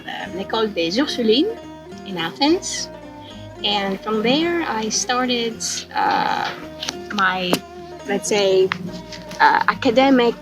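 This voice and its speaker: A young woman in her twenties, a guest answering questions in English, her voice sitting a touch high. She speaks slowly and haltingly, stopping often on fillers as she gathers her thoughts, with music playing clearly underneath. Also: rough recording. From Greece. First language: Greek. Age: 20s. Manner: hesitant, halting, many fillers. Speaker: interview guest. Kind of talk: casual interview answers.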